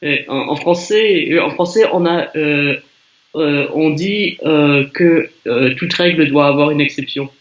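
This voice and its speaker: A man from France chatting in a relaxed, talkative way with a language learner over a video call. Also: a little high, unhurried, dull audio. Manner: relaxed, chatty, with some restarts. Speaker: conversation partner. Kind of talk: casual conversation.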